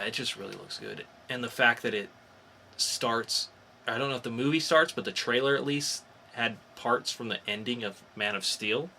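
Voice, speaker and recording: A man's voice: lowish voice, gravelly, pushed and bright, a little hiss or hum. A man hosting a podcast, talking casually about geek news in an informal, explanatory way with some swearing. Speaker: podcast host.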